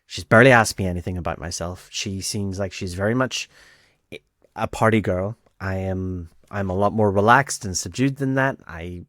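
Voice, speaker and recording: A man's voice: sits low, moderate pace, fairly bright tone, no echo, clean studio sound. A man with a Northern Irish accent telling a personal story casually, in a conversational way, acting out bits of dialogue. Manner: conversational, acts out quoted dialogue.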